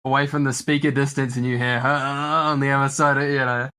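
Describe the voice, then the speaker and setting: A man's voice: mid-range voice, flat delivery, a little fast, bright, forceful tone, clean tone. A man from New Zealand hosting a podcast, talking casually in a rambling way with lots of fillers and laughter. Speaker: podcast host. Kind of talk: casual chat.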